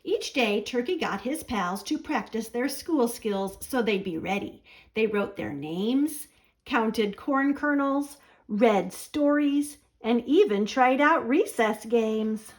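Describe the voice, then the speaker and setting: A woman reading a children's picture book aloud as a storyteller, in an expressive narrating style. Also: on the high side, takes it slowly.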